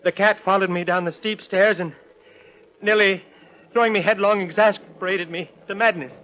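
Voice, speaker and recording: Man acting an unhinged, high-strung radio villain, high-pitched. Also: fairly even intonation, normal speed, natural voice. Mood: haunted recitation. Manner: theatrical, agitated, sneering. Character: unhinged, resentful, cowardly husband who turns killer. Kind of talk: 1930s radio melodrama acting. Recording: muffled, noisy vintage radio recording.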